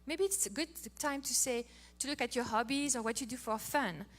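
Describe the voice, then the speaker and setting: A middle-aged woman from France with a French accent, giving a playful, animated talk on marriage as a co-host. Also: fairly high pitch, brisk. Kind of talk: casual talk to an audience.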